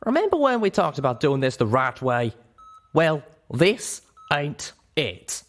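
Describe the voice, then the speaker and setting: A folksy, hot-tempered cowboy pony, acted out by a man, upset and exclamatory. The pitch is pushed up and swings freely, as in an animated audiobook reading of a fantasy tale. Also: unhurried. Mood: reproachful. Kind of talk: audiobook character voice in storybook reading.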